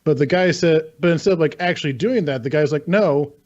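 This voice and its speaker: A man's voice: fairly high pitch, brisk, darkish tone. Rambling, profane man, slightly muffled sound. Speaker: podcast co-host. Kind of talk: casual video game chat.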